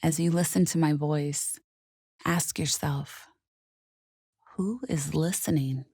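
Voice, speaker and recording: A woman guiding a meditation in a soothing, unhurried, softly spoken way with gentle pauses. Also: deep voice, fairly even intonation, slightly rough, no echo, clean studio sound. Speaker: meditation guide. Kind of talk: guided meditation narration.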